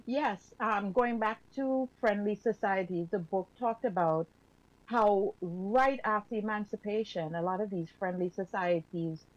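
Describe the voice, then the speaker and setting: A woman on a radio talk show, speaking reflectively and haltingly with fillers. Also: mid-range voice. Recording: dull lo-fi sound.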